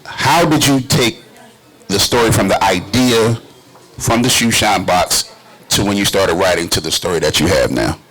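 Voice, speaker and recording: A man's voice: lowish voice, lively intonation, normal speed, fairly bright tone, slightly rough, poor audio. A man with a conversational interviewing style, talking as a panel interviewer. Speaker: panel interviewer, youth worker.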